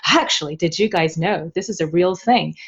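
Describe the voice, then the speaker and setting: A woman's voice: on the low side, moderate pace, husky, lo-fi sound. A woman chatting casually as an interview guest, playful and jokey as she explains things. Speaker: podcast guest, translator. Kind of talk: casual, animated interview chat.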